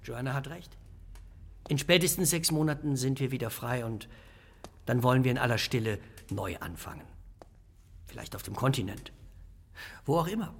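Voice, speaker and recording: A man's voice: mid-range voice, lively intonation, normal speed, pauses often, breathy edge. An actor playing a smug, self-righteous male blackmailer in a radio play, speaking in a formal, self-assured way. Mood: defiant.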